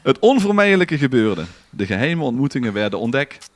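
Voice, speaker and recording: A man's voice: medium pitch, very animated, fairly quick. A man with a Limburgish Dutch accent telling a scripted story in a theatrical way as a podcast co-host. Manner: dramatic, bantering with co-hosts.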